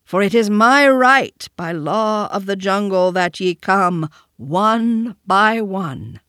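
Old wolf leader, slow and formal, weary but steady; a narrator does him. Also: mid-range voice, no echo, studio quality. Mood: grave.

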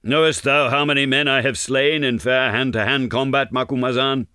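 A man's voice: mid-range voice, steady tone, normal speed, bright, forceful tone, clean tone, no echo, studio quality, voice pushed up, natural voice. A man voicing an aging, bloodthirsty warrior who is proud and boastful and speaks in grand, archaic declamation for a storybook.

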